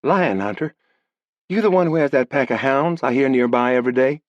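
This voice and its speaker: A man's voice: mid-range voice, brisk, no room sound, voice pushed up, lightly acted. A man voicing a wary, bossy young woman on a frontier ranch. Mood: curious. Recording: dull lo-fi dry audiobook recording.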